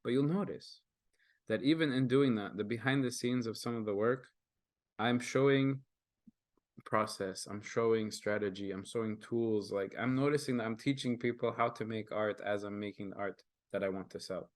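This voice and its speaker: A man's voice: lowish voice, moderate pace, no echo, clean studio sound. A young man, a native Arabic speaker who grew up in Kenya, presenting his artwork in an earnest, casual way with many fillers and restarts over a video call. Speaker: guest artist presenting his work.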